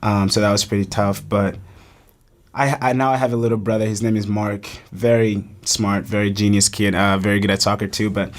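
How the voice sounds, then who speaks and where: A man's voice: fairly low pitch, level tone, a little fast. A young man from Uganda, a college soccer player, earnestly telling his story on a casual podcast, often restarting his sentences. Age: young adult.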